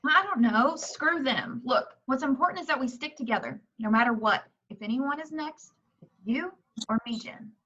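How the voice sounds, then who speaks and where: A scornful, foul-mouthed horror heroine played by a woman in a home script reading. The voice is high and sharp, with blunt emphasis. The sound is slightly dull. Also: expressive, normal speed, heavily acted. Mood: bossy, scornful. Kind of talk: amateur at-home screenplay table reading.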